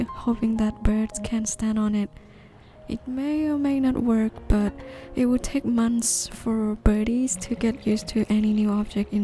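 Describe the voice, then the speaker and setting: A woman from northeastern Thailand, gently narrating a gardening video in a measured, explanatory way. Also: a little high. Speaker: gardening vlogger. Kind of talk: calm scripted voice-over narration.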